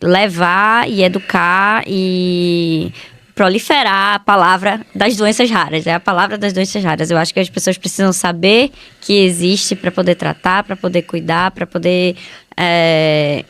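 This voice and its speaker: A woman's voice: on the low side, fairly even intonation, measured pace, fairly bright tone, no echo. A woman with a Brazilian accent, a medical geneticist giving conversational answers as an interview guest.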